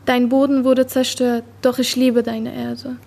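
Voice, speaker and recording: A young woman from Afghanistan recites her own poem in a measured, earnest way. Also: fairly high pitch, little pitch movement, creaky, darkish tone. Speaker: student poet.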